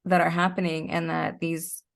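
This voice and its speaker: A woman's voice: fairly low pitch, flat delivery, moderate pace, gravelly. A middle-aged woman as an interview guest, explaining her points conversationally in a thoughtful, step-by-step way.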